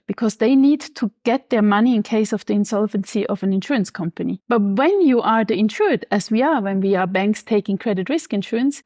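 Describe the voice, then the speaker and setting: Swiss woman banker in an interview, highish voice, dry close sound, calm and precise. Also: no echo. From Switzerland. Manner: measured, precise, explanatory. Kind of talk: explaining finance in an interview.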